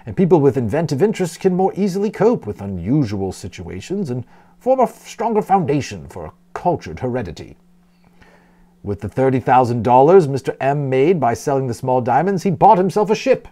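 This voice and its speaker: A man reading a children's novel aloud as a storyteller, expressively and with deliberate emphasis on key words. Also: mid-range voice, big pitch swings, dark tone. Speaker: audiobook narrator and storyteller.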